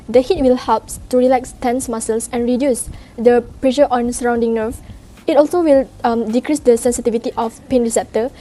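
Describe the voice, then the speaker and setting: Mellow, higher-pitched young woman presenting. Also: slight background noise. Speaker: student presenter. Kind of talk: scripted class presentation.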